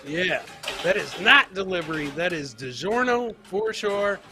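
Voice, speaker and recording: Bright-voiced man hosting a casual livestream. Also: high voice, expressive, a little slow, soft background music. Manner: jocular, profane, repeats phrases. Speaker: host of a live comedy clip show. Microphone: close podcast mic on a boom arm, headset.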